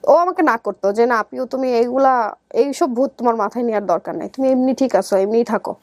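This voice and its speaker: A young Bangladeshi woman with a high voice, recorded close and dry. She tells her life story conversationally, slipping into quoted dialogue as she goes. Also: fast talker, no echo. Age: young adult. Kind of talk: personal storytelling in an interview.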